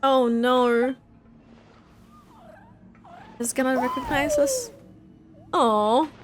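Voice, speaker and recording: High-voiced, slow-paced woman reacting to a game. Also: wildly swooping pitch. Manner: cooing, short exclamations. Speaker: gaming reaction streamer. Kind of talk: casual live game reaction commentary. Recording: faint game music behind.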